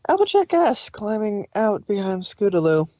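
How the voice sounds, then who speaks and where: A woman's voice: mid-range voice, big pitch swings, normal speed, a little mellow, no room sound, highs cut off. A woman reading scripted story narration as the narrator of a fan fiction.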